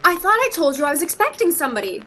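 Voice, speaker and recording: A haughty young shop woman in an old-time radio romantic comedy acted by a woman. Her voice sits high and swings widely in pitch as she delivers cutting, scornful remarks with theatrical polish. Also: very animated, very fast, fairly bright tone, a little hiss or hum, exaggerated voice. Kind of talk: vintage radio play acting.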